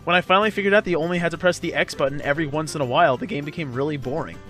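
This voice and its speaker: Male let's player, highish pitch, chatty rambling joker, light game music underneath. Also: very fast, a bit bright. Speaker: let's play commentator. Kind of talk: casual gameplay commentary.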